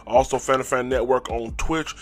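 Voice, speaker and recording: A man hosting a sports fan channel, giving a casual game recap in a hoarse, raspy voice, with hyped call-and-response and frequent throat clearing. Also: medium pitch, fairly even intonation, normal speed, soft background music.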